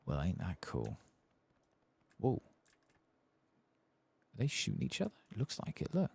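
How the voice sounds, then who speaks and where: A man giving soft-spoken ASMR commentary over a video game, murmuring and sometimes slipping toward a whisper. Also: lowish voice, huge pitch swings, a little slow. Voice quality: soft, husky, gravelly. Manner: murmuring to himself, partly whispering.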